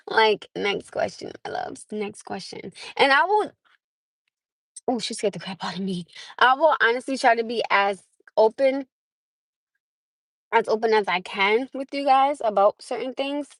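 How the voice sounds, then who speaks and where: Woman from the US, casual livestream chat, higher pitch, dry close sound, inside a car, phone mic. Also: animated, unhurried, pauses often, dry recording. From United States. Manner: chatty, laughs often, addresses viewers warmly as "my love". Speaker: livestream host. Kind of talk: casual live chat with viewers. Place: in a parked car.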